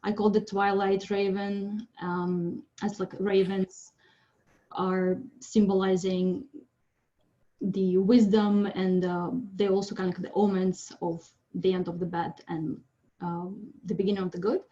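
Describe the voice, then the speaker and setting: A woman's voice: mid-range voice, flat delivery, warm tone. Woman speaking over a dull call line. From Siberia, Russia. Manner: friendly, chatty, pauses with ums. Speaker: presenting artist. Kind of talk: informal online presentation. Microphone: video call.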